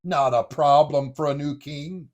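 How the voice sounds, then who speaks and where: Man acting a proud, slightly high-voiced commander king. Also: steady tone, a little slow, a little mellow, dull audio, lightly acted. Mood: triumphant. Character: confident, proud army commander turned new king. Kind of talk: dramatized Bible story audio acting.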